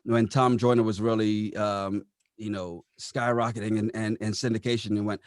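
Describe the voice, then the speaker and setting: A man's voice: on the low side, flat delivery, normal speed, no room sound. Man explaining in an interview, dry sound. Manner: explanatory, posing rhetorical questions. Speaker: radio operations manager being interviewed. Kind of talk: conversational interview answers.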